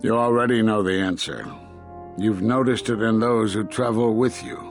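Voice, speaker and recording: A man's voice: lowish voice, fairly even intonation, faint music behind, lightly acted. Man playing a stern, grave older Jedi master. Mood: accusing. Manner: grave, emphatic, deliberate. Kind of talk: video game dramatic acting.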